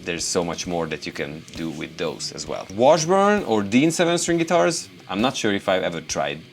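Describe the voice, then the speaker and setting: A Hungarian man with a Hungarian accent, a guitarist hosting a casual question-and-answer video, relaxed and rambling with many fillers. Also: sits low, moderate pace, gravelly, soft background music. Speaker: guitarist and YouTube host. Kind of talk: casual Q&A to camera.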